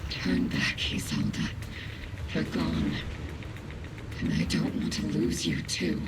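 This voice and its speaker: An actor playing an earnest, commanding soldier comrade in a video game, speaking with urgency. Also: male voice, deep voice, sing-song, slow pace, heavy background noise, heavily acted. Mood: pleading, grave. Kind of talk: dramatic video game voice acting. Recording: game dialogue under loud music.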